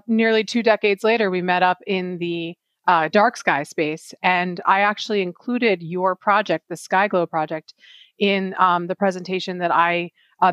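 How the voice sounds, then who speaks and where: A woman's voice: fairly low pitch, fairly bright tone, no room sound. Female podcast co-host, dry close sound. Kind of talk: conversational interviewing.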